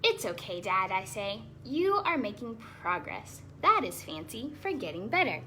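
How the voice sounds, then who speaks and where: A woman's voice: medium pitch, very animated, normal speed, pushed and bright, a little hiss or hum. A woman hosting a children's storytime, reading aloud expressively with chatty asides and explaining words simply for young listeners.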